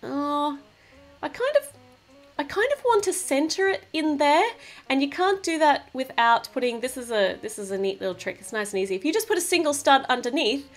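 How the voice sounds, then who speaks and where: A woman's voice: high voice, expressive, fairly quick, faint music behind. A woman hosting a craft channel, chatting cheerfully to viewers as she narrates a toy build.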